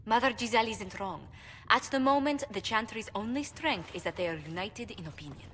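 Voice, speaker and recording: A composed adviser voiced by a woman reasons with calm measure in a fantasy game. Her pitch moves freely, and the sound carries a long hall reverb. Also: a little fast, slight background noise, close to an everyday voice. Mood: measured.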